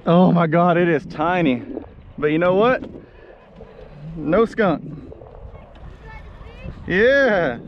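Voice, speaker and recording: A man, chatting casually and excitably in slangy talk as he films a fishing outing. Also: high voice, very slow, long pauses. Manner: excitable, laughs often, slangy. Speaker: fishing vlogger. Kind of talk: casual chat while filming a fishing outing. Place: kayak on an open lake, some in a car. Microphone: action camera worn or mounted close by. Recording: muffled outdoor action camera, clear background noise.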